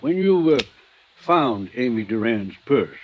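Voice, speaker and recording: An actor playing a shrewd, cautious attorney in a 1950s radio drama, asking measured questions with formal politeness. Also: male voice, medium pitch, sing-song, a little hiss or hum. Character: shrewd, cautious male small-city attorney, politely probing. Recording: dull vintage radio recording.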